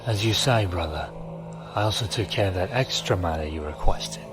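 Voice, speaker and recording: A man playing a cold, solemn religious zealot villain in a science fiction audio drama, formal and deliberate. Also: low voice, expressive, over music. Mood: cold, reverent. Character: solemn, cold male zealot cult brother.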